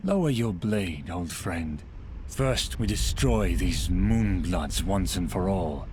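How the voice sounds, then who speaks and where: Proud old general in a game, grand and commanding, dramatic swings, bit of noise behind. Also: male voice, low voice, expressive, takes it slowly, a little mellow. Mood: intense, persuasive. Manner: grand, formal, authoritative. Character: commanding older male animal general, coldly self-assured villain. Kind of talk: dramatic video game acting.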